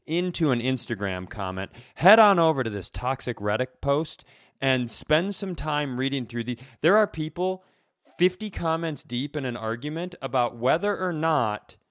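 A man's voice: fairly high pitch, a little slow, no room sound, clean studio sound. A man co-hosting a comedy podcast, ranting casually with profane, sarcastic, storytelling delivery. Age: adult, parent of young child. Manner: profane, sarcastic, animated storytelling with mimicked quotes.

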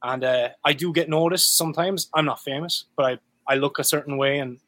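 A man in his thirties with an Irish accent, a guest musician talking frankly and profanely, with lots of halting restarts. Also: on the high side, a bit bright, no echo. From Ireland. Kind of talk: candid casual interview talk.

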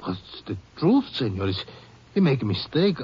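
A man's voice: mid-range voice, very animated, a little slow, slightly rough, exaggerated voice. An actor playing a shifty, defensive Mexican man in a 1950s radio western, speaking hesitant broken English with a Mexican accent. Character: shifty, sleepy Mexican man protesting innocence nervously. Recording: muffled, lightly noisy 1950s radio recording.

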